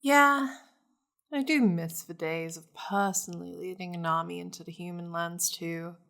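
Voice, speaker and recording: A woman's voice: on the low side, normal speed, gravelly, fairly bright tone. A woman voicing a possessive, wealthy demon lady in an intimate ASMR roleplay, flirtatious, teasing and commanding with haughty endearments. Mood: smug, playful.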